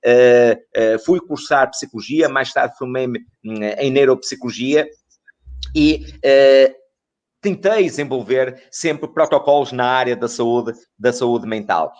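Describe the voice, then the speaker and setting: A middle-aged man from Portugal speaks at a medium pitch. He explains things didactically as an expert interview guest, building his points with repetition and rhetorical questions. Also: slow, highs cut off. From northern Portugal.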